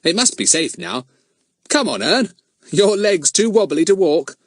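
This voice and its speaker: A man's voice: high voice, animated, dry recording, pitched above the performer's own voice. A man reading a storybook voicing a bold, cheerful schoolboy who leads his friends. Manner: brisk, cheerful, polite to adults.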